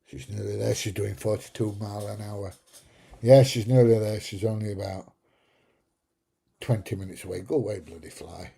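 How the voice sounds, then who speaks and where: A middle-aged man chats away on a casual livestream, telling rambling, jokey stories. His voice is very dark and husky, with a gravelly edge. He speaks at an easy, slow pace. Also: fairly low pitch. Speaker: livestream host. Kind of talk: casual live chat reading viewer comments.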